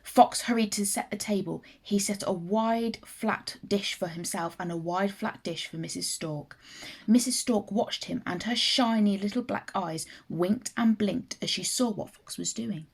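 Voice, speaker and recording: A woman narrating a children's story in an expressive, deliberate manner. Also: mid-range voice, gravelly, slightly rough. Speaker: teacher reading a story aloud.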